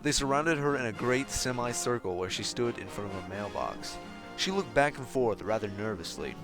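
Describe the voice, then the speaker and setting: Animated male storyteller over music. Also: lowish voice, moderate pace. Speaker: fan fiction narrator. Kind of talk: expressive scripted story narration.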